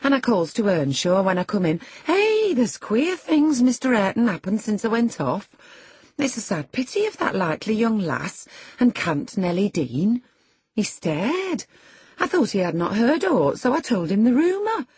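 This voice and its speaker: Lady doing a gossipy country housekeeper in a storybook, raised husky voice, brisk n rambling, dry close sound. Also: on the high side, very animated, darkish tone, no room sound, voice pushed up, heavily acted. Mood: chatty. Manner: rustic dialect turns, exclamatory, rambling.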